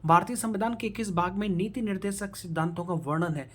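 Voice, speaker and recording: A man's voice: sits high, fairly quick, a bit bright. A man teaching an online exam-prep quiz lesson, posing questions, then answering and repeating them as he coaches viewers directly. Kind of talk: quiz-style lecture for online students.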